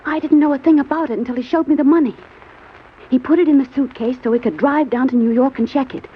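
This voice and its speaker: A fairly high-voiced scheming femme fatale acted by a woman in a vintage radio thriller. She is earnest and melodramatic, always imploring, on a muffled recording with faint noise. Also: steady tone, fast, natural voice. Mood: urgent, confiding. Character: pleading, scheming female accomplice professing love. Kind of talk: old-time radio crime drama acting.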